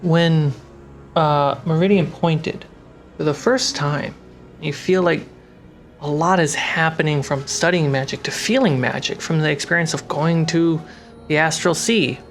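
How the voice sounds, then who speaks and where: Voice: mid-range voice, a little slow, faint music behind. A game master narrating a tabletop fantasy game in a suspenseful, storytelling style.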